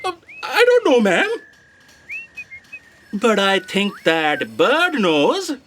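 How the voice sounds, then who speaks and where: Woman playing a snide office aide, lively pitch. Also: extremely slow, slight background noise, full character voice. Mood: wry. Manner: dry, snide, dutiful. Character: dry, dutiful female office aide with a snide streak. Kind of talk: scripted comic audio drama.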